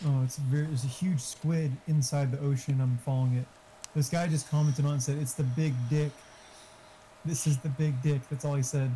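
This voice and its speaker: A man's voice: a little high, fairly even intonation, a little fast, warm tone, poor audio. A man chatting casually with a gaming friend over voice chat, joking crudely and swearing freely. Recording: faint background noise.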